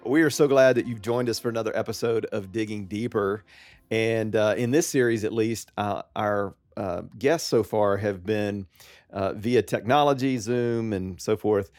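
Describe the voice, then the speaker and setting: Lowish guy hosting a church podcast, lots of uhs, dead dry studio sound. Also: moderate pace, a little mellow, no room sound, faint music behind. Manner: friendly, filler-heavy, restarts often. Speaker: podcast host. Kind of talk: casual church podcast conversation.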